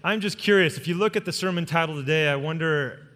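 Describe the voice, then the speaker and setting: A man's voice: fairly high pitch, fast, pushed and bright. A man, a pastor, making informal, joking announcements to a congregation in a casual way. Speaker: senior pastor. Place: large church hall. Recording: long hall reverb from church sound system.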